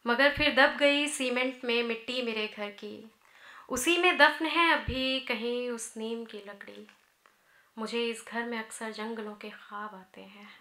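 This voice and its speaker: Female poet, thirties, slightly high bright voice, measured storytelling recitation, on stage. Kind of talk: live poetry recitation, narrative free verse. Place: indoor auditorium stage at a podium. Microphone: gooseneck podium microphone, close. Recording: roomy auditorium sound through PA microphone.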